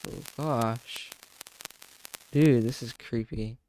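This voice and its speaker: A man's voice: mid-range voice, drawn-out words, a little mellow, a little hiss or hum, low-quality recording. A man reading a horror story aloud in a casual, stumbling way, breaking off to react. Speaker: video creator reacting and narrating.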